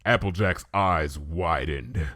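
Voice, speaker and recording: A man's voice: low voice, slow pace, sharp tone, dry recording, studio quality. A man reading scripted story narration for a fan fiction.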